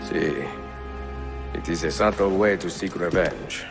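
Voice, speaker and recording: World-weary Italian master assassin, rock-bottom pitch, gravelly fry, courteous, video game cutscene. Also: male voice, a little slow. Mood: courteous, gentle. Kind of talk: cinematic video game acting. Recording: music behind the dialogue.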